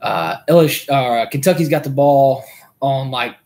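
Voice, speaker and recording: A man co-hosting a podcast in casual chat, telling stories in a rambling way with quick asides. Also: mid-range voice, darkish tone. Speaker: podcast co-host.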